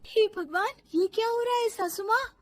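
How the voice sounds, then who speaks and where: An innocent young daughter-in-law with a cheeky side, from an animated moral story, played by a woman. Her voice is high and bright, with exaggerated delivery. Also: measured pace. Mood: gleeful.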